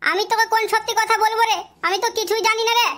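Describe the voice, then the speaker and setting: A performer voicing a scolding, sharp-tongued cartoon character in a comedy, with a pitched-up filter. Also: steady tone, racing, heavy background noise. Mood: suspicious, scolding. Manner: brash, scolding, swearing. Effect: chipmunk-like pitch-up filter.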